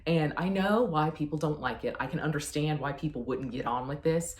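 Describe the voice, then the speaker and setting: A woman's voice: low-pitched, fairly quick. A woman chatting casually as a book reviewer, effusive and emphatic with a playfully self-deprecating manner. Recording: roomy, echoey sound.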